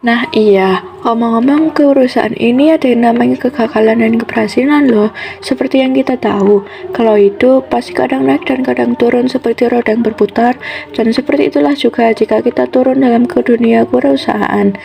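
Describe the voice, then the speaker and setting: A woman's voice: fairly high pitch, brisk, gravelly, mellow, a little hiss or hum. A teenage girl on a student podcast, chatty and asking listeners questions as she explains. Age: teens. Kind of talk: school podcast presentation.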